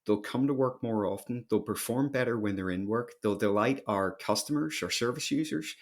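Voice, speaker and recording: A man's voice: mid-range voice, moderate pace. A man with a Northern Irish accent telling his story conversationally and emphatically as a podcast guest. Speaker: podcast guest, company founder.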